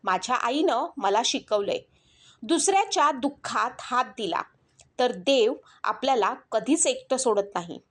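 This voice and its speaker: A woman voicing a humble, kind young tea seller in a story, speaking gently and earnestly. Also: fairly high pitch, expressive, a little slow. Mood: kind, caring.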